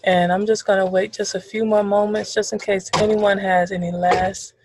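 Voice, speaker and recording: A woman moderating a webinar over a video call, reading out questions in a friendly, relaxed way with frequent fillers. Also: mid-range voice, moderate pace, background noise.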